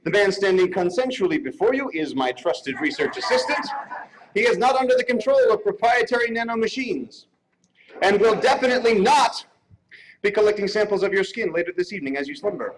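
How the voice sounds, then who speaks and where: A man with a notably high voice reads an acceptance statement aloud. The delivery is formal and mock-pompous, played for comedy. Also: lively intonation, brisk, poor audio. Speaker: stand-in accepting an award.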